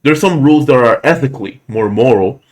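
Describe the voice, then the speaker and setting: Deep-voiced man from Puerto Rico. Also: animated, darkish tone. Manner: chatty, slightly halting English, playful. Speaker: show host, commentator. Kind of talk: casual interview.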